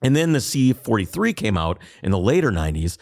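A man's voice: lowish voice, fairly quick, dry recording, clean studio sound. A man hosting a podcast, telling a long story in casual chat and acting out the people he quotes. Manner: animated storyteller, acts out quoted exchanges. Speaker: podcast host.

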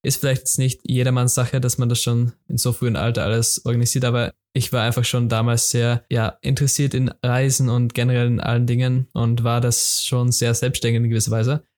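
A young man talks through his podcast episode on his own. His delivery is flat and steady, with hardly any rise or fall in pitch. He rambles casually, repeating himself and filling gaps with hesitations. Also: fairly quick, few pauses. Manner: informal, loose and repetitive, many fillers and restarts. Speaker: podcast host. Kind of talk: casual solo podcast talk.

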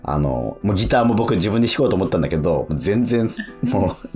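Low-voiced, animated male radio host. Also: a little fast. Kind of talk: casual radio chat. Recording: music playing under the talk. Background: background music.

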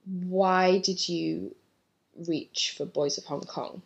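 A woman's voice: on the low side, steady tone, slow pace, gravelly, dull audio. A woman hosting an interview, asking questions and encouraging her guest. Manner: encouraging, fills in with affirmations. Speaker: interviewer, podcast host. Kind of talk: casual interview.

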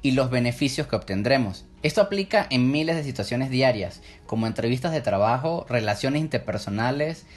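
A man from Venezuela with a Venezuelan accent, hosting his own podcast in a measured solo monologue, slowing down when he poses questions to listeners. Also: mid-range voice, lively intonation, soft background music. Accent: Venezuelan Spanish. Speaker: podcast host.

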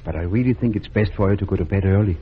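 A low, husky voice of a kindly small-town doctor, with lots of lift in his pitch. He reassures people gently in old radio-drama style. The sound is muffled like a vintage broadcast. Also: racing. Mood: gentle. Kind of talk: 1940s radio acting.

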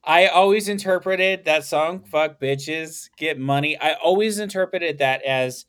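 A man's voice: a little high, measured pace, fairly bright tone, clear, resonant tone. A young man hosting a casual podcast, rambling and joking with lots of swearing and laughter. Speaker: podcast host. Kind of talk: casual comedic podcast banter.

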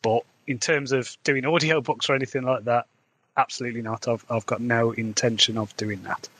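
A man's voice: mid-range voice, fairly even intonation, fairly quick, a bit bright. A man with an English Midlands accent, an author hosting his own podcast, talking casually and candidly with a rambling, chatty manner. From England. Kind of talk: casual solo podcast chat.

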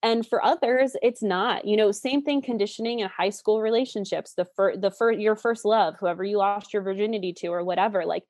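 A woman in her late twenties talks in a dry, close-sounding recording. Her pitch sits in the middle for a woman. She explains things like an informal expert, candid and enthusiastic, in loose conversational sentences. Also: steady tone, fairly quick, few pauses, darkish tone, no echo. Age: late 20s. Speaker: guest expert explaining relationship psychology.

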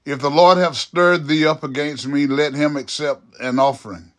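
A man's voice: on the high side, fairly even intonation, moderate pace, close to an everyday voice. A man reading the lines of an earnest young warrior pleading with a king, his voice a little raised.

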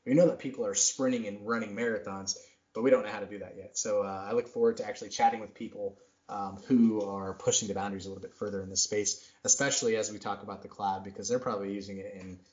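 A man's voice: lowish voice, steady tone, fast, darkish tone. A man in his thirties hosting a podcast, talking in a rambling, self-deprecating way full of fillers. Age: 30s. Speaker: podcast host. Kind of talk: casual chat. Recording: roomy, dull lo-fi sound.

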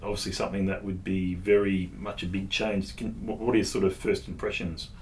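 Low-voiced man hosting a podcast. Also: flat delivery, fairly quick, a little mellow, low-quality recording. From Tasmania, Australia. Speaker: podcast host. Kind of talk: casual podcast interview.

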